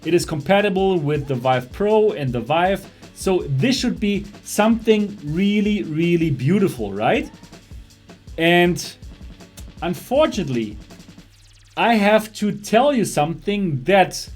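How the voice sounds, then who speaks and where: A young German man with a slightly high voice hosts a casual tech livestream in English, speaking with a German accent. He talks in a loose, chatty way, laughing often and restarting his phrases, while faint music plays underneath. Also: expressive, unhurried. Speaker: host of a VR livestream show. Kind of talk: casual livestream tech talk, reading chat.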